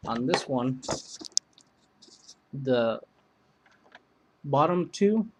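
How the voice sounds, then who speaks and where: A man casually demonstrating and comparing two emergency radios, rambling with many pauses and fillers. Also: medium pitch, lively intonation, noisy background. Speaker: product reviewer. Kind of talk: casual product demo.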